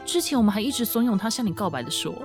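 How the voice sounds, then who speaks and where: A woman's voice: mid-range voice, expressive, very fast, music in the background, lightly acted. A woman acting a nosy, bubbly young office gossip in a radio drama, teasing and full of exclamations. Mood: gossipy.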